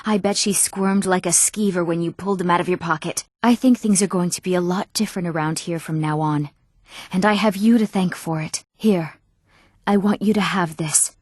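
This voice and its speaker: A woman's voice: lowish voice, fairly even intonation, normal speed, a little mellow, no room sound, studio quality, close to an everyday voice. An actress playing an eager, earnest young townswoman in a fantasy video game, speaking in an articulate, well-spoken way. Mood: pleased, grateful. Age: young adult.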